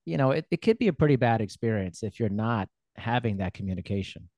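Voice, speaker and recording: A man's voice: fairly low pitch, lively intonation, quick pace, mellow, no room sound, clean studio sound. A man hosting a podcast interview, easygoing and encouraging, laughing along with his guest. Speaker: podcast host. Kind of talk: casual interview conversation.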